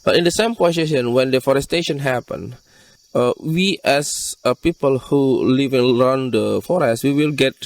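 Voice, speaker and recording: A man from Borneo with an Indonesian accent, giving earnest, halting interview answers in non-native English. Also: mid-range voice, creaky, dry recording, distant mic. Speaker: conservation group leader being interviewed.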